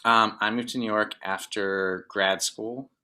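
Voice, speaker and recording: Bright-toned man chatting about his youth. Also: on the low side, steady tone, unhurried, clean tone. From Northern Virginia, US. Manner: rambling, many "like" fillers and restarts. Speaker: guest drummer. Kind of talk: casual interview chat.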